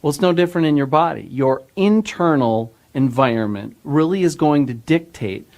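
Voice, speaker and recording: A man's voice: on the high side, lively intonation, normal speed, darkish tone. A man giving an informal explanatory talk, conversational, with everyday analogies and rhetorical questions.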